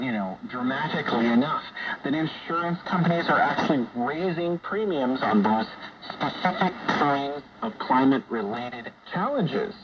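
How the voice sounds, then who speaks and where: A man hosting a conversational radio discussion, explaining things in a measured, hesitant way. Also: fairly high pitch. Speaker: radio show host. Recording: dull, noisy shortwave radio broadcast.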